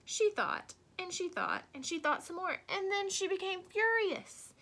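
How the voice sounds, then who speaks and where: A woman reading a children's storybook aloud expressively, with deliberate dramatic emphasis. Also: very high voice, big pitch swings, pushed and bright. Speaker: storybook reader.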